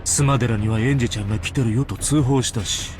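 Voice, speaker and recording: A man's voice: medium pitch, rapid-fire, over music, close to an everyday voice. An actor playing a glib, shrewd businessman in a visual novel, casual and unflappable as he talks. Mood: smug, amused. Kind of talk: Japanese visual novel voice acting.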